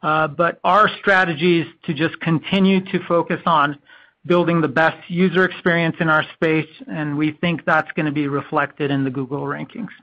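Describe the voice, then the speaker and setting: A man's voice: fairly high pitch, fairly even intonation, moderate pace. A man speaking as a company executive, giving remarks on an earnings call. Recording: dull lo-fi conference call audio.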